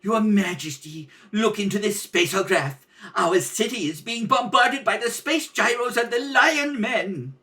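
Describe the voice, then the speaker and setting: A man's voice: high voice, a little slow, hardly any pauses, sharp tone, pitched above the performer's own voice, heavily acted. A man voicing an alarmed imperial servant in old radio serial style. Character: panicked palace servant reporting an attack. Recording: distant home rehearsal recording.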